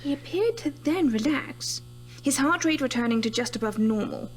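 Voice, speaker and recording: A woman's voice: high voice, animated, moderate pace. An actress playing a sceptical, analytical woman scientist in an audio horror drama, insistent and matter-of-fact. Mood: methodical. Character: brisk, sceptical female scientist reviewing a death.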